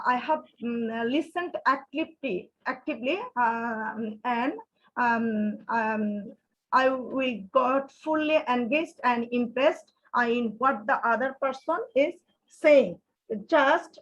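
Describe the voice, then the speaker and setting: A woman from Bangladesh moderating an online round table, asking questions hesitantly with many fillers. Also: a little high. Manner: hesitant, many fillers, non-native English. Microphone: video call. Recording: dull lo-fi call audio.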